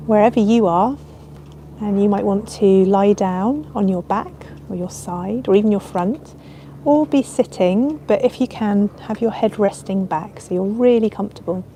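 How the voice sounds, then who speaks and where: A woman leading a guided relaxation as a yoga nidra teacher, speaking in a soothing, unhurried, gentle way with ambient sound around her. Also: mid-range voice, a little hiss or hum.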